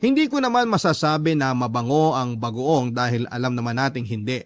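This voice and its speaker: A man hosting a radio love story show, reading a scripted letter dramatically in measured, pause-filled storytelling phrases. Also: mid-range voice, expressive, no echo, clean studio sound.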